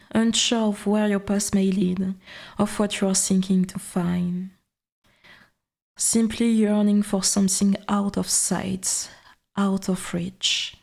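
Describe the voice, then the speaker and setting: Woman as a slow, murmuring ancient forest goddess. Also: medium pitch, a little mellow. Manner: slow, breathy half-whisper, archaic formal wording. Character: ancient female forest god, dominant, possessive, quietly menacing. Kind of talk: ASMR audio roleplay.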